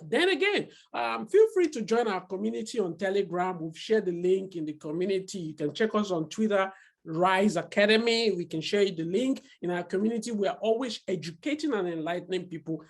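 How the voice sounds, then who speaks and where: A woman's voice: medium pitch, expressive, brisk. A woman hosting an online investment club interview, earnest and encouraging. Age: 40s. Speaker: host.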